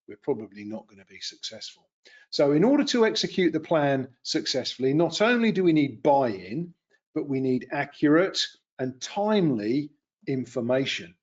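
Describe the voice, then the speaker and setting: A man's voice: mid-range voice, a little mellow, lo-fi sound. A man in his fifties giving an unscripted webinar lecture, conversational and rambling, with many fillers and restarts. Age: 50s. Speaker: webinar presenter. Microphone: computer webcam and mic.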